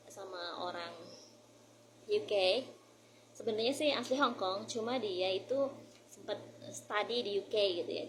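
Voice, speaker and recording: A woman's voice: mid-range voice, slight background noise, low-quality recording. A woman from Indonesia speaks in a mid-range voice with an Indonesian accent. She chats informally as a vlogger, slipping between Indonesian and English phrases as she shares learning tips. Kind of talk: casual vlog chat.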